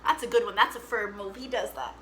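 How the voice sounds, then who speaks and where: A woman hosting an interactive musical storytime for children, talking in an animated, encouraging and playful way. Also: medium pitch, a little fast, soft background music. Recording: clear background noise.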